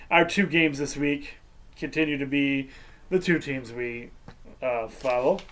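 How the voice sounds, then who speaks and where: Middle-aged man, sports show host, rambling and hesitant, raised voice, slow unhurried delivery. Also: fairly high pitch, fairly bright tone. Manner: rambling, hesitant, breaks into goofy sung tunes. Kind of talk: casual sports preview talk.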